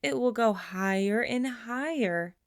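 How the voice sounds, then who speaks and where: A woman reading a children's picture book aloud in an expressive way, slowing down for the closing lines. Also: a little high, smooth, no echo, clean studio sound. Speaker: storybook reader. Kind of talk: children's read-aloud narration.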